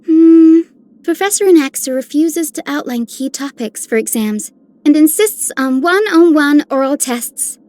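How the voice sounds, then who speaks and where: A woman's voice: high-pitched, lively intonation, measured pace, mellow, no echo. A woman voicing a dutiful, caring young healer in a video game, polite and earnest. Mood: mildly exasperated. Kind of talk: video game character voice lines.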